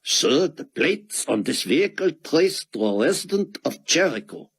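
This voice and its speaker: Man playing young officer, heavy foreign accent, high and stilted, slow and suspicious. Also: big pitch swings, husky, exaggerated voice. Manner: stilted, slow, commanding phrasing.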